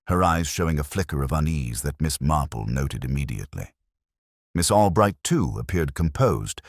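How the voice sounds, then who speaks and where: A man narrating a scripted mystery story in a measured, formal storytelling manner. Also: extremely low pitch, dry recording, clean studio sound. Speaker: audiobook narrator.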